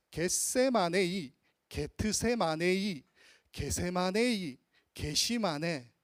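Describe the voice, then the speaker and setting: Male pastor, teaching sermon, high and expressive delivery, dry close sound. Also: no echo. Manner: teacherly, draws out Greek and Aramaic word forms slowly. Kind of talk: expository sermon. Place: church sanctuary.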